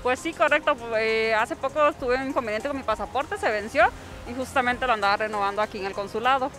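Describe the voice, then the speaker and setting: Woman in a street interview, somewhat high voice, unsure and hesitant, with soft music beneath. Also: fast. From Costa Rica. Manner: hesitant, many fillers. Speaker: athlete interviewee. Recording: faint music underneath.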